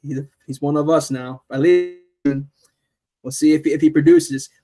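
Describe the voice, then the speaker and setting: A man hosting a live football chat, talking in an animated, playful way with lots of fillers and restarts. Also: fairly high pitch, unhurried, faint music behind. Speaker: host.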